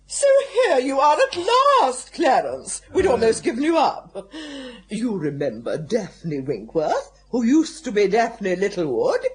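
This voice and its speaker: An actress playing an imperious, exasperated middle-aged aristocratic lady in a radio comedy, with a husky voice and an upper-class English accent. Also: medium pitch, wildly swooping pitch, a little slow, heavily acted. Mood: impatient. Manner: grand, scolding, gushing in company. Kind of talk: BBC radio comedy drama acting.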